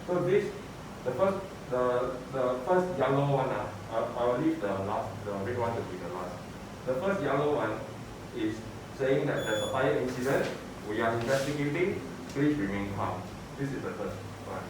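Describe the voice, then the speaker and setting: A man giving a hands-on spoken demonstration as an instructor, explaining in a halting, repetitive way. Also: medium pitch, expressive. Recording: echoey room, distant mic, noisy background.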